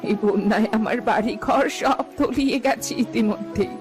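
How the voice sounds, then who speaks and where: A woman's voice: on the high side, moderate pace, music in the background, natural voice. A woman playing a poor, worried old village mother in a cartoon, pleading and lamenting. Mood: anxious complaining. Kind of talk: Bengali fairy-tale cartoon dialogue.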